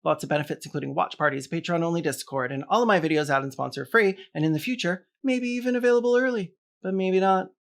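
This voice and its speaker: Higher-voiced man with wry sarcasm. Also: quick pace, gravelly. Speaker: video essayist and host. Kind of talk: scripted video essay commentary.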